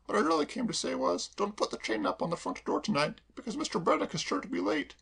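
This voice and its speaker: A man voicing a warm-hearted young woman running a household. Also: very high-pitched, very animated, quick pace, creaky, husky, voice pushed up, exaggerated voice. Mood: patient.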